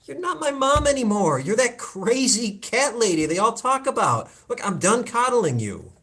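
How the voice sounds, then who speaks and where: A man's voice: extremely high pitch, big pitch swings, moderate pace, fairly bright tone, husky, full character voice. A man playing a concerned, exasperated grown son coaxing his mother, in a live play reading over a video call. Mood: fed up.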